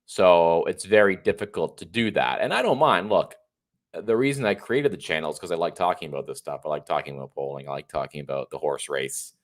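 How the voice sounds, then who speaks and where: Brief: man in his 30s, livestream host, stuffy and congested, deep voice, rambling opinions. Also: animated, fairly quick. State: congested and a little tired. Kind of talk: casual solo livestream monologue.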